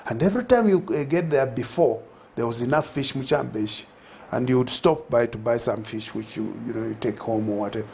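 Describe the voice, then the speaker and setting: A man's voice: medium pitch, fairly quick, creaky, hardly any pauses, a little mellow, slightly rough. An older man with a Zambian accent, a guest in a TV studio interview, talking reflectively with many fillers and restarts. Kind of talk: conversational TV interview. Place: TV studio set. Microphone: lapel.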